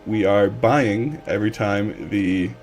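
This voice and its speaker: A man with a slightly hoarse voice, streaming a casual coding tutorial from his desk and rambling with lots of fillers. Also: lowish voice, takes it slowly, soft background music. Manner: relaxed, rambling with many fillers. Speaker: livestream coding instructor.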